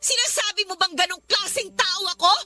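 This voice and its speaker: A woman's voice: very high voice, fairly quick, music in the background. A woman playing an exasperated young mother and live-in partner in an emotional Filipino radio drama. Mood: furious. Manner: emotional, scolding.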